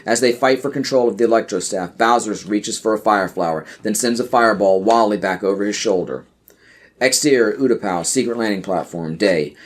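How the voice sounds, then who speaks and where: A man reading scripted screenplay narration and scene headings for a podcast audio play. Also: lowish voice.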